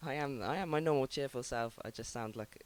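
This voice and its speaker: A man hosting a music show and introducing songs in casual chat. He sounds ill, his voice lowered by sickness, and he rambles hesitantly with frequent ums. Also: moderate pace, clean tone.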